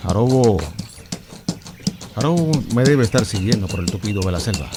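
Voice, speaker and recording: A reflective man alone in the jungle, thinking aloud. His voice is lowish, set over clear music with drums, in a radio drama style. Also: expressive, normal speed. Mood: pensive. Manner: reflective monologue. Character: pensive man trekking through jungle, musing on friendship.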